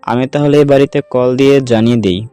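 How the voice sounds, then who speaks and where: A man acting as a kindly, watchful man of the household in an audio story. His voice is mellow and mid-pitched, with faint music behind. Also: fast talker, clear, resonant tone, dry recording, lightly acted. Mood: caring. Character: kindly, watchful men of household: gatekeeper, elder brother.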